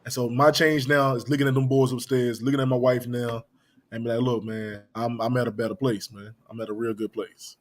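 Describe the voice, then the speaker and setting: Male podcast host, thirties, quick and loose, leans on "man" and "you know what I'm saying". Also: medium pitch, flat delivery. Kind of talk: casual podcast chat.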